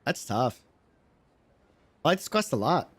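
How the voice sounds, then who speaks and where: A man's voice: medium pitch, very animated, unhurried, a bit bright, husky, dry recording. Earnest, slangy male streamer, close dry sound. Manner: slangy, earnest, repeats himself for emphasis. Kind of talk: casual livestream game commentary.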